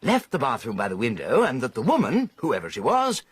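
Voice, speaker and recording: A flustered, well-bred young gentleman in a period radio mystery. His voice leaps wildly up and down and is very husky and breathy, and the studio sound is dry and close. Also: medium pitch, wildly swooping pitch, a little fast, no room sound. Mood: puzzled. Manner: flustered, indignant, hesitant. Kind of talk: 1920s-set radio drama.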